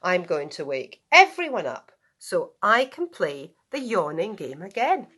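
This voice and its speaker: A playful, drowsy storybook dog, voiced by a woman, full of mischief. The delivery is exaggerated and excitable, with pitch leaping up and down. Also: unhurried, breathy edge, heavily acted. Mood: delighted. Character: playful, sleepy male dog scheming a yawning game.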